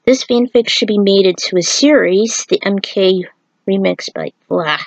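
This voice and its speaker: A woman narrates an amateur fan fiction story and speaks as its writer. Her pitch is mid-range for a woman. The recording is dry, with very little room sound. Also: measured pace, no room sound, dull audio.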